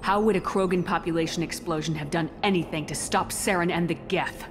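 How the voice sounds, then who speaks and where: Woman playing a low-voiced tough military commander. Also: fairly quick, close to an everyday voice. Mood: challenging. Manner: firm, direct. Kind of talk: dramatic video game acting. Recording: loud music behind the voice.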